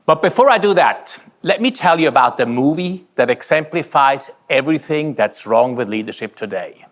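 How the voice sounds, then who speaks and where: A man's voice: mid-range voice, animated, moderate pace. A man from Switzerland with a Swiss-German accent, delivering a rehearsed motivational keynote in dramatic, emphatic short phrases. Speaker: keynote speaker.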